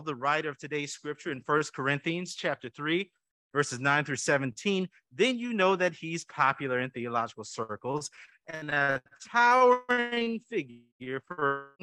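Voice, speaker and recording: A man's voice: on the high side, bright, forceful tone, dry recording. A man preaching a conversational sermon to his church, asking the congregation questions and adding light jokes. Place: church sanctuary.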